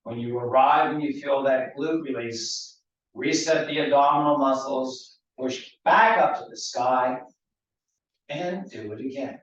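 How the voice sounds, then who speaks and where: A male fitness instructor, slightly hoarse, calmly cues each movement of a mat routine. His voice sits at a middling pitch and comes from some way off the microphone. The studio around him rings with a heavy echo, and the sound is a little dull. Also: a little slow, distant mic. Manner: calm step-by-step cueing. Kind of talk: guiding a mat mobility class.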